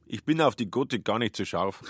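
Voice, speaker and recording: A man's voice: fairly low pitch, lively intonation, a little fast, lightly acted. A man performing narration and dialogue in a literary radio play, dry and matter-of-fact.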